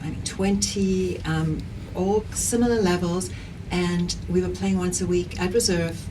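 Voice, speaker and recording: A woman's voice: fairly low pitch, unhurried. A middle-aged woman from South Africa with a South African accent, talking earnestly and energetically as a guest in an interview. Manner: energetic, earnest, repeats herself while thinking. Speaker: guest, padel league founder. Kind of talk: conversational interview. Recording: distant mic with clear background noise.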